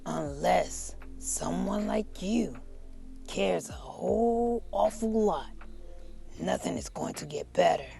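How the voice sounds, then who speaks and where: A woman voicing a regretful, greedy old male factory owner in a children's storybook read-aloud, with creaky, dramatic, drawn-out delivery. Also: medium pitch, expressive, dark tone, soft background music, heavily acted. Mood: earnest, urging.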